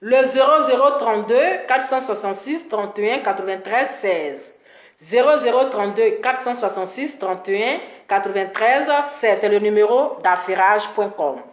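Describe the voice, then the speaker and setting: A woman's voice: mid-range voice, normal speed, echoey room. A woman with an Ivorian accent hosting an opinionated live stream, scolding emphatically and repeating her points for emphasis. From Ivory Coast. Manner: animated scolding, emphatic repetition. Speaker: social media live-stream host.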